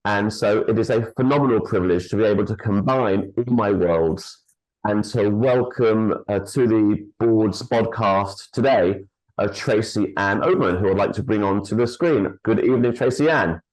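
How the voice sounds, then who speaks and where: A man hosting a formal online interview over a video call, speaking in a measured way with frequent hesitant pauses. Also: on the low side, darkish tone, poor audio. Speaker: host and chief executive.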